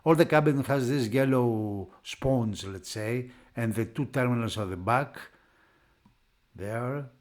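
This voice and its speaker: A man who is not a native English speaker, narrating a product unboxing off the cuff in halting phrases. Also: medium pitch. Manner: halting, piecing phrases together. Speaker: product reviewer.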